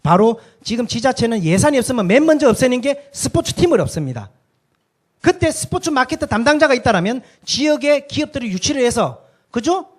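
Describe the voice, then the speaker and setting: A man's voice: very high-pitched, very animated, fairly quick. A man with a regional dialect giving an emphatic lecture on stage to a live audience, often throwing rhetorical questions at the listeners. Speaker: speaker at a talk concert.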